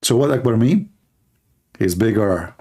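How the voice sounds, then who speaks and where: A man's voice: fairly low pitch, lively intonation, a little slow, mellow, slightly rough. A man from the Middle East, a native Arabic speaker with an Arabic accent, talking informally and bluntly as he hosts a live stream. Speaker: live stream host, religious apologist.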